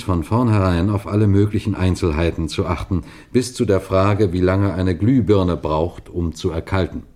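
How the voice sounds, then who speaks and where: A deep, low male voice belongs to a seasoned police detective in an old radio crime play. He tells his case deliberately and emphatically, with full authority. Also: warm tone. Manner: measured, deliberate, emphatic storytelling. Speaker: narrator and lead actor. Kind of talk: vintage German radio crime drama narration.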